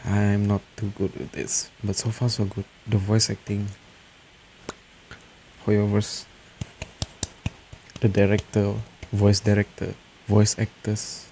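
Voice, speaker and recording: A man giving low-key, casual commentary on a game playthrough, muttering short reactions. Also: monotone, slow pace, long pauses, warm tone, a little hiss or hum, rough recording. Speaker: gamer, playthrough host.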